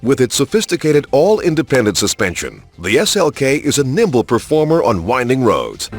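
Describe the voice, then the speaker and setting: A man's voice: medium pitch, huge pitch swings, normal speed. A man reading polished, scripted promotional narration in an upbeat, salesman-like way. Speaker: narrator of car showcases in a video game. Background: music.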